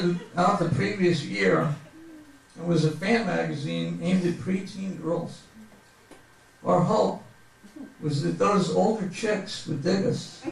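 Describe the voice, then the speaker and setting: A man's voice: high-pitched, creaky, slight background noise. A man reading his humorous memoir aloud to a live audience as a comic, mock-grandiose storyteller, recorded from a distance in a very echoey room. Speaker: author reading from autobiography. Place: bookstore reading event before an audience.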